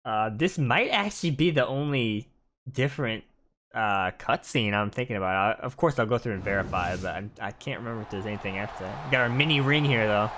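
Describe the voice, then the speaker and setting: A man's voice: on the low side, a little fast, a bit bright, a little hiss or hum. A man giving casual gameplay commentary as a gaming video creator.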